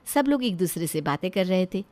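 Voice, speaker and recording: A woman's voice: lowish voice, very animated, brisk, a little mellow. A woman narrating a scripted family story.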